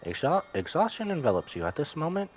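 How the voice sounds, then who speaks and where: A man's voice: a little high, sing-song, fast, slight background noise. A man live-streaming a story game, reading dialogue aloud and adding casual asides. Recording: music behind the voice.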